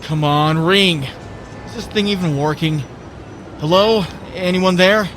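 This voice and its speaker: A man's voice: sits high, a little slow, fairly bright tone, faint music behind. A man playing a young wisecracking superhero photographer in a comic book dub, sarcastic and exclamatory. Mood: anxious, pleading. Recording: clearly noisy background.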